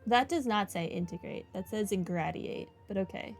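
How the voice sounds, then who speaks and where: A woman's voice: mid-range voice, moderate pace. A woman giving casual, offhand commentary as she plays through a video game. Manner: wry, offhand. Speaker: gamer doing a playthrough. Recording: game music behind the voice.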